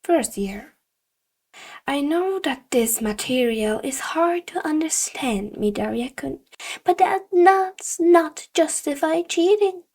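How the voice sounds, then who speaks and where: A woman plays a stern female middle school teacher in an audiobook story. Her voice is high and she speaks very slowly, leaning on key words. She lectures with deliberate, condescending firmness. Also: expressive, darkish tone, full character voice. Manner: slow, deliberate, emphatic, lecturing. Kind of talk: acted audiobook dialogue.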